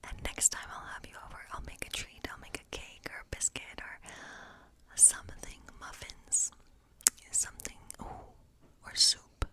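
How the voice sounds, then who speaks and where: A woman playing a hospitable, eccentric woodland witch in an ASMR role play, speaking in a breathy whisper with a slow, coaxing, reassuring, rambling manner. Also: close to an everyday voice. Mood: encouraging, chatty. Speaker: ASMR performer.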